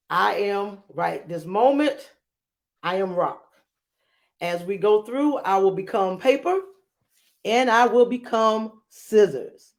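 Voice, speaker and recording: Woman, teacher, slow delivery, medium pitch, explanatory and emphatic, read-aloud style. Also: darkish tone. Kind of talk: read-aloud lesson and storybook narration.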